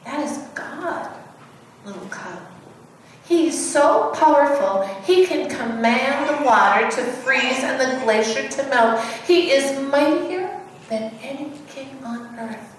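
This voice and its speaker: A woman voicing a gentle, patient mother bear who explains things reassuringly in a children's storybook reading. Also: high voice, takes it slowly. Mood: reverent. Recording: echoey room, distant mic, background noise.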